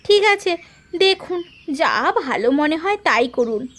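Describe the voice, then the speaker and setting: A woman playing a concerned, outspoken mother and landlord's wife in an animated folk tale, earnest and pleading. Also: high-pitched, takes it slowly, exaggerated voice. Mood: resigned. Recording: music behind the voice.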